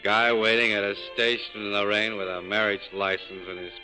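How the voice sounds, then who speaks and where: An actor playing a heartbroken, cynical American bar owner with sardonic, slangy delivery in a 1940s radio drama. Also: male voice, fairly low pitch, steady tone, brisk, pushed and bright, poor audio. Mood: bitter, wistful. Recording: vintage radio broadcast with music.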